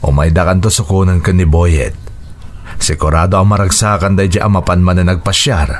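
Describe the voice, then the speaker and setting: A man's voice: low-pitched, fairly even intonation, fairly quick, a little hiss or hum, close to an everyday voice. An actor playing an affectionate older widowed father in an Ilocano radio drama, courting a younger woman. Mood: tender, persuasive.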